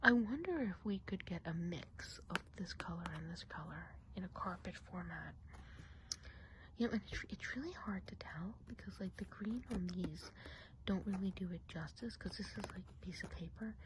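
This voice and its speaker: A woman playing a friendly, chatty witch of the woods in an ASMR roleplay, whispering softly and breathily in an unhurried, musing way. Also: lowish voice, a little hiss or hum, close to an everyday voice, rough recording. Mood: musing, gentle. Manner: unhurried, gossipy, hesitant musing.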